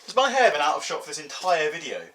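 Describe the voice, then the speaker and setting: A man's voice: on the high side, sing-song, fast, a bit bright. Young British man, roomy distant sound. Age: early twenties. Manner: wry, mock-dramatic, self-deprecating humour. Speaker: vlogger and radio presenter. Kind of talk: chatty, scripted-feeling opinion vlog. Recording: roomy, distant mic with music behind.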